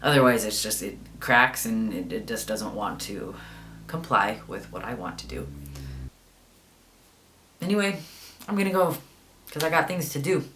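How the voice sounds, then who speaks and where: A man's voice: lowish voice, big pitch swings, moderate pace, pauses often, sharp tone, a little hiss or hum. A young man chatting casually in a video diary, rambling with plenty of fillers. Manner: rambling, chatty, lots of ums. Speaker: vlogger.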